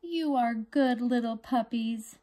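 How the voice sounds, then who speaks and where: A woman's voice: a little high, slow, mellow. A woman voicing a firm but caring mother dog in a storybook read-aloud. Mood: gentle. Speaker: guest reader.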